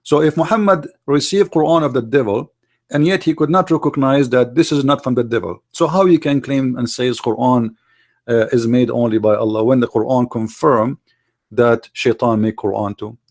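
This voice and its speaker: A man who grew up speaking Arabic argues in halting, non-native English full of fillers. His mid-pitched voice has a gravelly, fry-laden edge. He talks as a podcast guest making a case. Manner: argumentative, non-native grammar, many fillers. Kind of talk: podcast interview.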